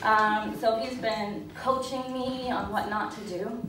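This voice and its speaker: A woman's voice: fairly high pitch, fairly even intonation, takes it slowly. A woman performing a comedic short story live on stage in a theatrical, mock-naive manner. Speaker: writer reading own short story on stage. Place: small stage venue with audience. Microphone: stand mic, heard through distant camera. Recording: echoey room, far from camera, noisy.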